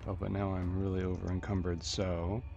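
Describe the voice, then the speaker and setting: A man narrates his own video game playthrough in a low, creaky voice. He thinks aloud in halting mutters with mild, folksy exclamations, over clearly audible music. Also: little pitch movement, warm tone, dull audio. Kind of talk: casual gameplay commentary, thinking aloud.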